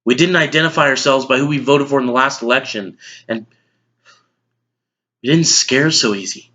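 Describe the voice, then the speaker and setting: A man's voice: medium pitch, normal speed, bright, forceful tone, lightly acted. A man playing a blunt, exasperated middle-aged news anchor in an emphatic, lecturing dramatic monologue. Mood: solemn, wistful. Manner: emphatic, lecturing, list-driven delivery. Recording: dull lo-fi audio.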